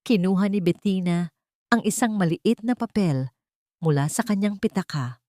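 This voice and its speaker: A woman narrating a scripted audio drama in a steady, dramatic storytelling manner. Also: mid-range voice, normal speed, no echo, studio quality.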